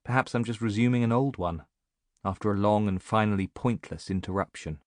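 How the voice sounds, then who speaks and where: A man's voice: on the low side, fairly quick, no echo, clean studio sound, natural voice. A man reading an audiobook as a reflective, self-mocking woman in her late thirties, musing and confiding as in a letter. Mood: wry. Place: dry recording studio.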